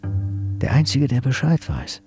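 A man's voice: mid-range voice, very animated, takes it slowly, darkish tone, soft background music. A man playing a secretive, obsessive murderer in a radio drama, muttering his brooding thoughts and at times whispering. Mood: calculating, uneasy. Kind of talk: radio drama inner monologue.

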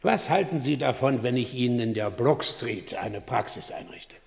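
A man in a German radio play, playing an eccentric elderly gentleman investor, excitable and emphatic, repeating himself. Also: medium pitch, normal speed, gravelly, long reverb tail. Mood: wheedling, sly. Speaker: actor in a radio drama.

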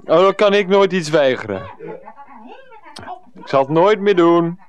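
An actor playing an earnest, pressured middle-aged ex-teacher with a Netherlands Dutch accent in a radio comedy drama, wordy and hesitant. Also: male voice, fairly high pitch, expressive, measured pace, dull audio. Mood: defensive, flustered. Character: Freek, pressured 39-year-old ex-teacher, earnest, henpecked by mother.

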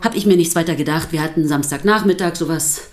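An actress playing a sceptical pastor's wife in a radio drama, dry, articulate and dismissive. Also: female voice, low-pitched, fast talker, darkish tone, lightly acted. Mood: troubled recounting. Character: sceptical, sardonic pastor's wife under questioning. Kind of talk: naturalistic radio drama acting.

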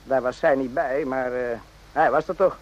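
An actor in a radio play voicing a brooding, rambling soldier who muses haltingly and repeats himself. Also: male voice, mid-range voice, close to an everyday voice. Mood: chatty, defensive. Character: brooding, rambling soldier, former circus night watchman.

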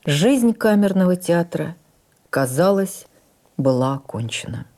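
A woman playing an actress who recalls her life in the theatre, narrating in the first person for radio drama in an expressive, measured, literary way. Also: on the low side. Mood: earnest, reflective. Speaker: actress reading a stage memoir. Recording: radio broadcast with faint music.

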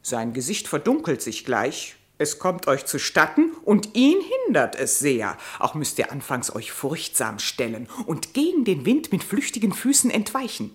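A woman's voice: sits low, huge pitch swings, normal speed, hardly any pauses. An actress playing a caring, fussy older female ape who gives coaxing, conspiratorial advice in a radio play. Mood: scheming.

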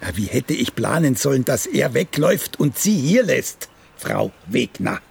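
An actor playing a vain, sardonic old playwright in a radio drama, theatrical and wry, his gravelly voice turning breathy at phrase ends. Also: mid-range voice, big pitch swings, a little mellow, full character voice. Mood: wry, dry. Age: older. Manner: slow, theatrical, drifting into wry chuckles.

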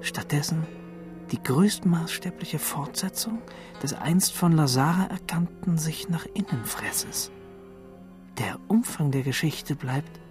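A man playing an awed, probing explorer in a radio play, with a halting, questioning, intense delivery. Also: on the high side, expressive, over music. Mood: intense realization. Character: male explorer, awed and probing, piecing mysteries together. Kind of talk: radio play acting, dramatic dialogue.

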